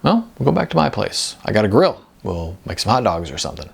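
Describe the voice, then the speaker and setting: A world-weary older man, played by a male audiobook reader, low and gravelly. He is laconic and easygoing, pausing as he explains himself. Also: big pitch swings, exaggerated voice. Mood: apologetic. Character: laid-back, world-weary older man living modestly. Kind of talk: audiobook character dialogue.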